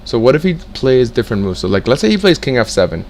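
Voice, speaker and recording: A young man teaching chess in a casual way, reading out moves and breaking into excited exclamations as he works through the position. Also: mid-range voice, fast, slight background noise. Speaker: chess tutor. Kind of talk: casual screen-share lesson, thinking aloud.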